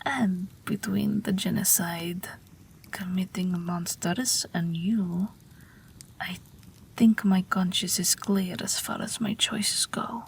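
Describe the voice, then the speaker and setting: A woman playing a gentle, wry, protective night witch in an intimate audio roleplay, speaking softly and breathily, often near a whisper. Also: lowish voice, gravelly, a little hiss or hum. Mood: quietly resolute. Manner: slow, soft, tender reassurance.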